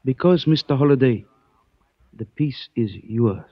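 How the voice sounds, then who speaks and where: An actor playing a suave male antique dealer who speaks in stilted, formal phrases, measured and evasive, in 1940s radio style. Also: medium pitch, no echo, lightly acted. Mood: cryptic, courteous. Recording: dull dry old radio recording.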